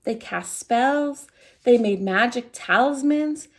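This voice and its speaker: A woman reading a children's picture book aloud as a storytime host, expressively, with theatrical, drawn-out emphasis on key words. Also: a little high, lively intonation. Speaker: storytime reader at a dance centre.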